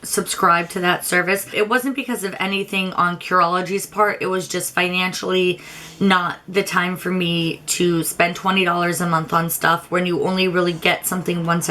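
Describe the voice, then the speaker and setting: A young adult woman reviews her used-up beauty products in a relaxed, candid chat. Her voice is slightly raspy, and her pitch sits in a middle range. She often restarts her sentences and rambles as she talks. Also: a little hiss or hum. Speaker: beauty vlogger.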